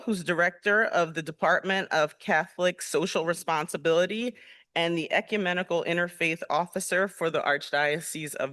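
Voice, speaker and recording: A man who moderates the panel, reading formal introductions of the speakers at a steady pace. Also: fairly high pitch, dry recording.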